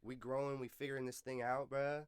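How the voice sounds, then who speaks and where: A man's voice: medium pitch, little pitch movement, brisk, clear, resonant tone, no room sound, studio quality. A man hosting a podcast in casual, slangy urban speech, enthusiastic and affirming, repeating phrases for emphasis. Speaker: podcast host. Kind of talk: casual interview chat.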